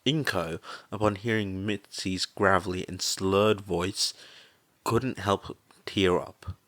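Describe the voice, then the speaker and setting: A man's voice: low-pitched, steady tone, takes it slowly, fairly bright tone, dry recording. A man reading a story aloud as scripted podfic narration.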